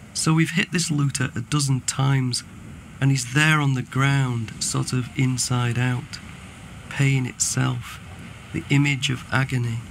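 A man reading a poem dramatically as a haunted soldier, deliberate and intense. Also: medium pitch, vocal fry, slight background noise. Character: haunted male British soldier recalling a killing. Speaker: poetry reader.

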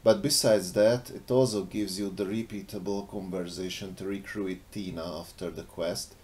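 A man's voice: fairly even intonation, normal speed, a little mellow. A man narrating an explanatory voice-over as a game modder. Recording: faint background noise, low rumble.

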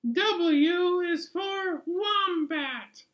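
A man voicing a patient zebra puppet, slowly reciting in a children's puppet show. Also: very high-pitched, a bit bright, voice pushed up, heavily acted. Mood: patient, deliberate. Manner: slow, deliberate.

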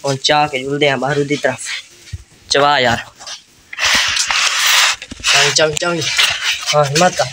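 A man's voice: on the high side, fairly even intonation, slow pace, pauses often. A young man with a Saraiki accent from Pakistan, chatting casually and repetitively as he films a village vlog. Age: teens to young adult. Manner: informal, repetitive running commentary. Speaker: vlogger, host. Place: outdoors in village lanes, fields and walled yard. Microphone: phone at arm's length. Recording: noisy handheld phone recording.

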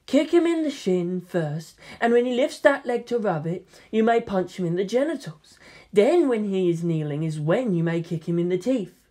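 A narrator voicing a haughty female alien seer who speaks calmly and deliberately. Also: medium pitch, lightly acted. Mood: calm, instructive. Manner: calm, deliberate. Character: calm, haughty alien Farseer, coolly scheming woman.

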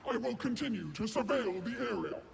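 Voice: medium pitch, expressive, fairly quick, gravelly, slight background noise. An actor voicing a rigid, obedient battle droid in a video game, clipped and emotionless, through a robot filter. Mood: stern, robotic. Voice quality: electronic, grating.

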